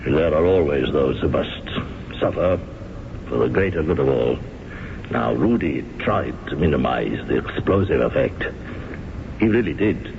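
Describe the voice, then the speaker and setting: Heavy: a detached zealot, extremely deep voice, reverberant muffled radio. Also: male voice, gravelly, slight background noise, exaggerated voice. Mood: ruthless calm. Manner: calm, measured, detached. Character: cold fanatic conspirator, calm and ruthless. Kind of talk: 1970s radio drama acting.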